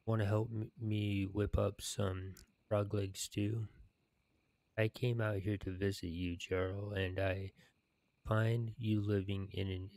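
A man in his thirties narrating a game, reading quest text aloud in a measured, deliberate way. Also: lowish voice, a little mellow, no room sound. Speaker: gaming video narrator. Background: video game music.

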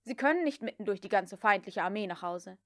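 A woman voicing a cool, mocking gentleman who takes command, as part of an audiobook reading. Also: medium pitch, lively intonation, a little fast, dry recording, clean studio sound, close to an everyday voice. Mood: sharp, disbelieving. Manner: sardonic, crisp, commanding. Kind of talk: dramatic audiobook character reading.

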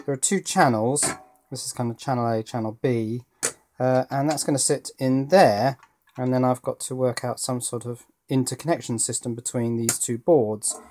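A man's voice: medium pitch, fairly even intonation, normal speed, a little mellow, a little hiss or hum. Man explaining at a workbench. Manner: thinking aloud. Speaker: electronics hobbyist and presenter. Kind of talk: informal hands-on explanation. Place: indoor workbench.

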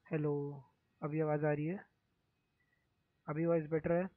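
A young man from India chats softly on his game stream, his throat sore and hoarse. His slightly high voice stays flat and even. The sound is thin and tinny, like a phone line, with no room echo. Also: unhurried. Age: young adult. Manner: speaks softly, mic-checking. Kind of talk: casual live-stream chat.

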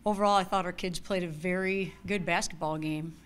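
A woman in her forties, a head coach, answering questions at a postgame press conference in a measured, analytical way into a table mic. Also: fairly low pitch, steady tone, a little hiss or hum. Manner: measured, analytical, repeats key words. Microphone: table mic in front.

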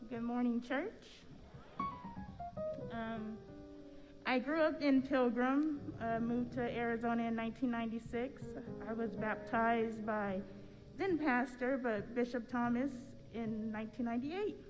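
American lady, middle-aged, higher voice, chatty storytelling at a church mic, big hall reverb. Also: a little slow. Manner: casual, anecdotal. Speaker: artist and church member. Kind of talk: informal testimony to a congregation. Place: large church sanctuary. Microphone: stand microphone. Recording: long hall reverb, faint music.

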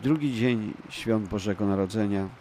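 Low-voiced formal male interpreter. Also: warm tone. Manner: measured, formal, phrase by phrase. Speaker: live broadcast interpreter. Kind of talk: live interpreting of prayer and address. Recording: voice-over over noisy live outdoor feed. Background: noise and ambience of the live feed.

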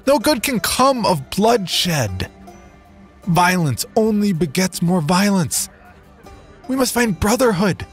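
A man's voice: high-pitched, voice pushed up. A man voicing a pious, half-blind settler who earnestly pleads for peace.

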